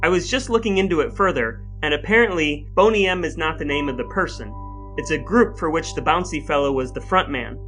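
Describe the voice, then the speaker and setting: A man's voice: a little high, animated, fairly quick, a bit bright, soft background music. An American man co-hosting a podcast with wry, mock-formal, wordy phrasing, moving between scripted reading and chat. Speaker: podcast co-host.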